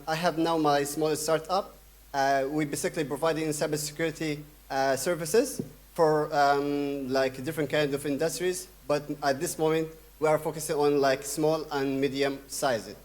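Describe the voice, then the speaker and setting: Man giving a halting keynote, fairly high voice. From Sudan. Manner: halting, many fillers and restarts. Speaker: conference speaker. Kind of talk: conference keynote talk.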